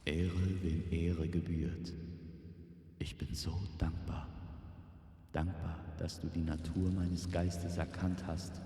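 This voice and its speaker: A man voicing an ancient soul dragon, grateful and solemn, speaking in a slow, reverent hush. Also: low voice, little pitch movement, vocal fry, exaggerated voice. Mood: solemn gratitude. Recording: reverberant, with noisy ambience behind.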